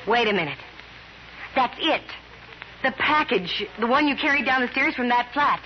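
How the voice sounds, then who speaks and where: A woman's voice: on the high side, animated, moderate pace, lightly acted. An actress playing a witty, smitten female private detective in a 1950s radio drama, with flustered, exclamatory delivery. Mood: puzzled. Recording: muffled, noisy old radio recording.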